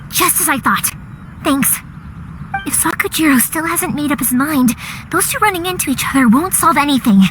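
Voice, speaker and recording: An actress voicing a cheerful young woman who makes fireworks, lively and quick to act, in a game. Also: high voice, noisy background. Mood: determined. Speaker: game character. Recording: game audio with music behind.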